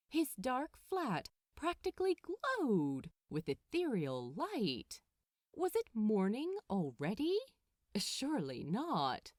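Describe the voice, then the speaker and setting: A woman reading a story aloud as narrator, in an expressive scripted storytelling style. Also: medium pitch, no room sound, studio quality. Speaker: fan fiction narrator.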